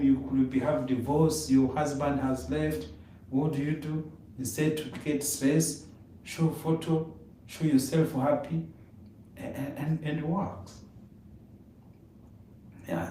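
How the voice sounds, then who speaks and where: Man, mellow dark voice, rambling casual talk, very echoey room, mic far off. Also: mid-range voice. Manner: rambling, repeats words, non-native grammar. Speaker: live stream co-host. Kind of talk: casual conversation on a live stream. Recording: echoey room, distant mic, faint noise.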